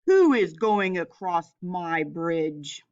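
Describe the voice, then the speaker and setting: A mean, greedy storybook troll, acted out by a woman reading aloud, shouts out menacing threats. The words are drawn out and slow, and the recording is slightly dull. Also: on the low side, very animated, clear, resonant tone, pitched below the performer's own voice. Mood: menacing, shouting.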